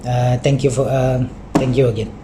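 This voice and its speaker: A man from Malaysia, an author at a reading, talking about his short story in halting English full of fillers, over a video call. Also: medium pitch, steady tone, noisy background.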